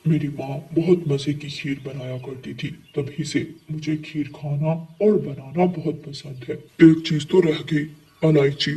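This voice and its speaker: A man voicing a gentle, food-loving male pigeon in a children's cartoon story. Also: fairly high pitch, fairly even intonation, measured pace, distant mic, low-quality recording. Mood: pleased, gentle. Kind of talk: Hindi cartoon story acting.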